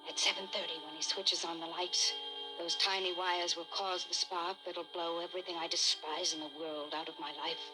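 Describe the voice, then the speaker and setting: An actress playing a wealthy, desperate and haughty wife in an old radio suspense drama, speaking with dramatic intensity. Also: female voice, lowish voice, little pitch movement, normal speed, natural voice, rough recording. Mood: cold, trancelike. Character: desperate, haughty wealthy wife narrating her own crime. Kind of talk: 1940s radio suspense acting, first-person narration. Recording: vintage radio recording with dramatic music behind.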